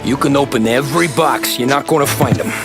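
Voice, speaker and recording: A man's voice: medium pitch, a little fast, music in the background, natural voice. An actor playing a level-headed American mobster and family man, terse and dry, in a crime game. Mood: firm, sober. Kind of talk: cinematic video game crime drama acting.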